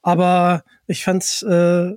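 A man's voice: sits high, monotone, takes it slowly, a little mellow, clean tone, no echo. A man hosting a podcast, chatting casually and putting questions to a guest. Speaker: podcast host. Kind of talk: casual interview chat.

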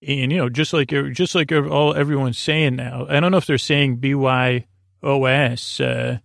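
A man hosting a bedtime podcast with a creaky voice and a lulling, goofy, meandering and halting delivery. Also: mid-range voice, steady tone, a bit bright, dry recording, studio quality. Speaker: podcast host. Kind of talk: rambling bedtime monologue.

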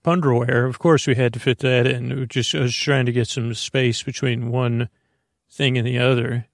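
Creaky-voiced man rambling soothingly. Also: medium pitch, fairly even intonation, dry recording, studio quality. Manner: soothing, meandering, halting with frequent stammers and self-interruptions. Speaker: podcast host. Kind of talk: rambling bedtime sleep podcast monologue.

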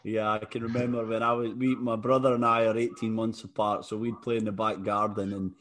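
A man's voice: lowish voice, flat delivery, brisk, a bit bright. A man hosting an interview podcast, friendly and upbeat, calling his guest mate. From Scotland. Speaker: podcast host, former footballer. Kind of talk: casual interview chat.

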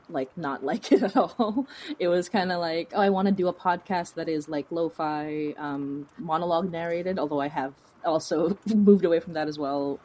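A woman's voice: low voice, dark tone. A woman from the Philippines chatting informally as an interview guest, rambling and often giggling as she talks. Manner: giggly, laughs through speech, rambling and informal. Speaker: guest, horror fiction podcast creator. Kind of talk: casual interview chat.

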